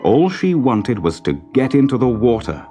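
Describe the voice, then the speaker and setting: A man narrating a children's story in a measured, dramatic, expressive manner. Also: mid-range voice, faint music behind.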